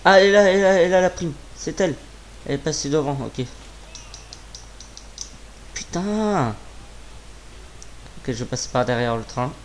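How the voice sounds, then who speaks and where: A slightly hoarse man with a sore throat giving casual, informal gameplay commentary, muttering to himself and swearing. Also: fairly high pitch, lively intonation, normal speed, long pauses, a little hiss or hum. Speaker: gaming video creator.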